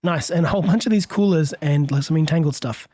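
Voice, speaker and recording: Hoarse man narrating his gameplay. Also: fairly high pitch, fast talker, no echo. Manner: musing aloud, relaxed, chuckles often. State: hoarse, under the weather. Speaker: let's play host. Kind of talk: gameplay commentary.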